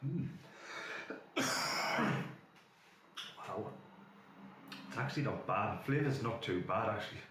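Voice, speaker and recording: A man's voice: lowish voice, lively intonation, slow, pauses often. A man with a chesty cold, casually demonstrating vape gear and rambling with lots of fillers. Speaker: vape reviewer. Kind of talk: casual hands-on product demo. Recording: echoey room with faint noise.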